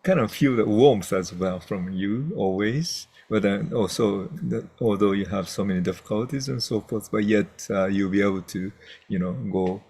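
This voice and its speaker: Man, reverend, event host, lowish voice, soft-spoken, hesitant with many fillers. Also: normal speed, creaky, breathy edge. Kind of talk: hosting a memorial event.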